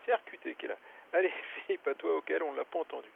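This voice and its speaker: Through a thin, faintly hissing ham radio channel, a man speaks in a slightly high, heavily fry-laden voice. His casual chat rambles along, with self-corrections. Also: rapid-fire. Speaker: amateur radio operator. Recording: hissy, tinny narrowband ham radio.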